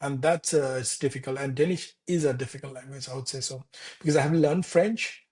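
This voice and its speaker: A man in his thirties from Nepal with a Nepali accent, speaking as an interview guest in a casual, halting way with many restarts and fillers. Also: medium pitch, darkish tone. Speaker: architect guest. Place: Denmark.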